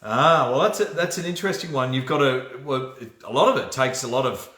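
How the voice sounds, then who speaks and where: A man's voice: medium pitch, brisk, a bit bright. A man with an Australian accent hosting a casual motivational livestream, chatty and often checking in with "right?". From Australia, born in New Zealand. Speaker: host of a personal development livestream. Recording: roomy, echoey room sound.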